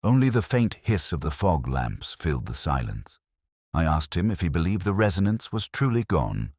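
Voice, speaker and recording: A man narrating a scripted mystery story in a measured, solemn, dramatic literary manner. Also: sits low, very animated, a little mellow, no echo, studio quality. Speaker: audiobook narrator.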